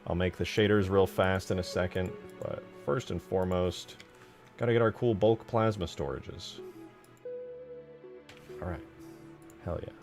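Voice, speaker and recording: Low-voiced man thinking aloud over music. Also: measured pace, pauses often, a little mellow. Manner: informal, sweary, mumbles thoughts aloud while working. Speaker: game modder, streamer. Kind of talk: casual live commentary while working on mods.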